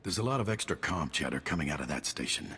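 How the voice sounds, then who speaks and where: An actor playing a gruff, battle-weary general with a clipped, wry military delivery in a video game cutscene. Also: male voice, extremely low pitch, big pitch swings, fast talker, pushed and bright, a little hiss or hum, heavily acted. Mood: grim, resolute. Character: gruff, weary, wry male army general under siege.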